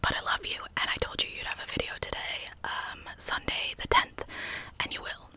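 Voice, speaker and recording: A woman hosting an ASMR channel, narrating in a breathy, intimate whisper with casual asides. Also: normal speed, sharp tone. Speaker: host of a true crime ASMR channel.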